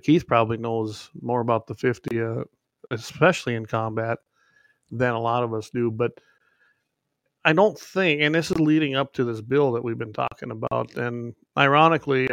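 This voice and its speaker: A man's voice: mid-range voice, gravelly, fairly bright tone, dry recording. A man, co-hosting a casual podcast over a glitchy online call, rambling with restarts and fillers. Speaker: podcast co-host. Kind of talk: casual remote podcast chat.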